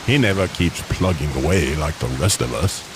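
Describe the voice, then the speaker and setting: A man's voice: very deep voice, expressive, moderate pace, background noise, voice pushed down. A man voicing a snooty, haughty car alternator with a pompous, formal, scolding manner in a radio drama. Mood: haughty scorn.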